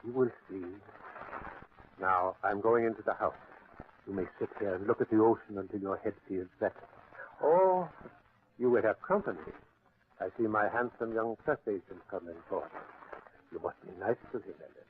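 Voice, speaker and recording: Actor as menacing mind reader, tinny old radio. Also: male voice, lowish voice, slight background noise, natural voice. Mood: smooth, controlling. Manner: commanding, silky, deliberate theatrical delivery. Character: menacing, possessive stage mind reader and controlling husband. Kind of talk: old-time radio thriller acting.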